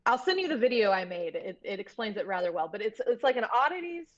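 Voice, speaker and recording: A woman's voice: a little high, sing-song, fast talker. Woman chatting casually, slightly dull audio. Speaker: podcast guest, horror producer. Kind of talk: casual chat.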